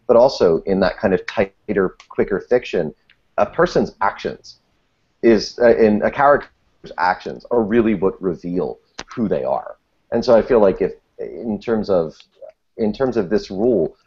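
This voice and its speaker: Very deep-voiced man in casual discussion. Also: darkish tone, husky, muffled recording. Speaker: podcast guest. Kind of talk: casual discussion of fiction writing.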